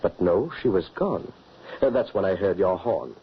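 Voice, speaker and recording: An actor playing a wary widowed father on the run, earnest and measured, in old radio drama acting. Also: low voice, lively intonation, breathy edge, exaggerated voice. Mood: recounting. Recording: dull old radio recording.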